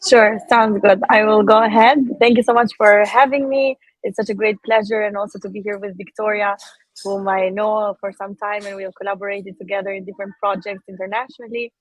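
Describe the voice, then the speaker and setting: A woman, telling her career story as an interview guest in a measured way, with frequent filler sounds. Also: medium pitch, little pitch movement, no room sound, poor audio. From Albania. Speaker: guest speaker.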